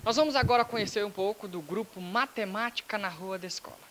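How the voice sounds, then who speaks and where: A man's voice: sits high, fast, fairly bright tone, slight background noise. A young man, a student reporter, introducing groups at a school fair with a handheld mic. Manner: announcing, scripted. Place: school fair, indoor stands.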